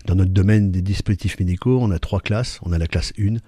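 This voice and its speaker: Low, dark-voiced man, halting. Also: fairly even intonation, husky, no echo. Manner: hesitant, many fillers and restarts. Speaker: company executive, guest. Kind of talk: interview answers.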